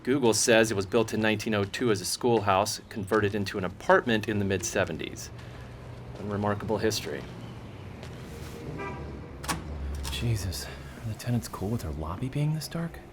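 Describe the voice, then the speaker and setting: An American actor playing a skeptical paranormal investigator who hosts a podcast, speaking in a casual, confiding, wry way. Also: male voice, lowish voice, fairly quick, noisy background, close to an everyday voice. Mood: uneasy, wry. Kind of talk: scripted narration and field recording in audio drama.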